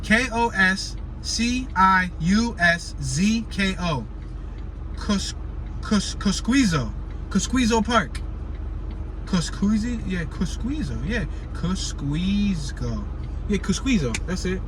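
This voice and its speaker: A man's voice: sits high, lively intonation, takes it slowly, fairly bright tone, rough recording. A man with a slight stutter, casually narrating a driving tour from his car and chatting playfully with viewers. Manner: chatty, playful, coins words, talks to viewers as y'all. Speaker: vlogger giving a driving tour. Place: inside a car driving through city streets. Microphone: camera mic in a moving car. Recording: road and engine noise.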